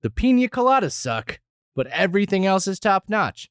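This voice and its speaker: A man's voice: high-pitched, sing-song, normal speed, smooth, no echo, clean studio sound, voice pushed up. A man voicing an enthusiastic online reviewer with a mock-dramatic town-crier flourish. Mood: gleeful proclaiming.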